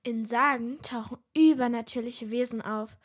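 A woman's voice: fairly high pitch, steady tone, normal speed, husky. A woman playing an eager, easily embarrassed young host of a makeshift show in a children's radio play. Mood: earnest.

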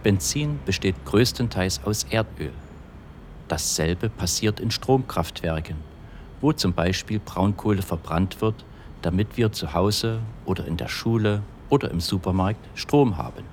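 A man voices a matter-of-fact explainer in an educational audio play. His voice is low, with a faint vehicle rumble behind it. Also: animated, unhurried, a little mellow. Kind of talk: scripted educational narration.

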